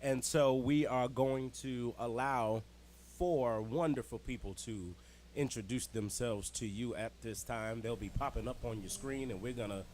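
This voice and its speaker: A man co-hosting a community talk show in a playful, chatty way, jokey and animated with slangy shout-outs. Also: medium pitch, unhurried, a little hiss or hum.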